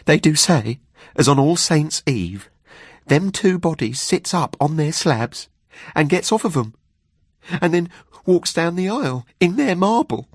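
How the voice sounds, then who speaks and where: A man voicing an uneasy, superstitious housekeeper woman in a storybook reading, with halting, ungrammatical country speech. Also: a little high, big pitch swings, no echo, clean studio sound, pitched above the performer's own voice, full character voice. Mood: ominous, hushed awe.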